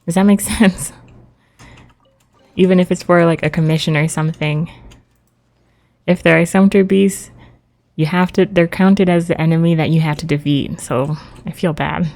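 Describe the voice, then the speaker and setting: A woman's voice: lowish voice, steady tone, normal speed, pauses often, a little mellow. A woman live streamer chatting casually while she plays a game, in an easy trailing way.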